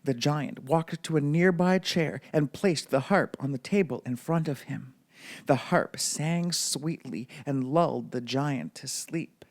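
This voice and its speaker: Male storybook reader, bedtime narration, unhurried pace. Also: a little high.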